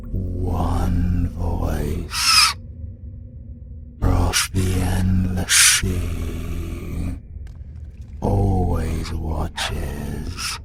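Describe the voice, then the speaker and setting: A man voicing an ancient, menacing world-devouring demon in an audio roleplay, British, with a slow, brooding, incantation-like delivery. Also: gravelly, heavily acted. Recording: loud rumbling noise behind.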